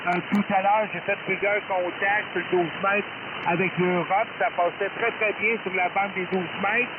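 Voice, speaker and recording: A man's voice: high-pitched, steady tone, normal speed. A man speaking as an amateur radio operator over shortwave, courteous and hesitant, often repeating himself. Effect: shortwave radio transmission. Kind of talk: unscripted ham radio exchange. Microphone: radio transceiver microphone. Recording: narrowband hissy shortwave radio signal with noise.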